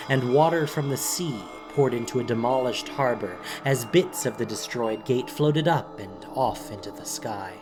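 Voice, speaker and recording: Slow, dramatic male story narrator. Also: mid-range voice.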